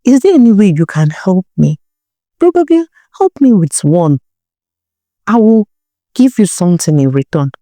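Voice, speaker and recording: Woman playing earnest young Nigerian woman, polite, pleading, principled, mellow tone, storybook dialogue. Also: lowish voice, very animated, normal speed, pauses often, no room sound, studio quality.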